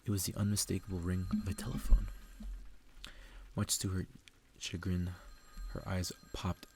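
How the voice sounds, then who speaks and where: A man reading a story aloud in a soft ASMR style, hushed and intimate, often slipping into whispers. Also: sits low, level tone, normal speed. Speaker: ASMR reader. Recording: faint background noise.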